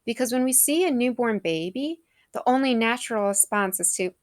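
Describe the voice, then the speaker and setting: A woman's voice: a little high, expressive, brisk, no echo, studio quality. Earnest woman giving a reflective devotional talk. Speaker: speaker giving a faith reflection.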